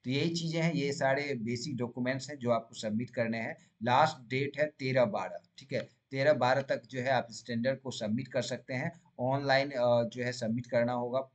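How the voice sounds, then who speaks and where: An Indian man speaks in a medium-pitched voice as a channel host explaining things step by step. His delivery is informal and instructional. He blends Hindi with English terms and often adds short questioning tags to check the listener follows. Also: moderate pace, hardly any pauses. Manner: frequent check-in tags like "right?" and "okay?".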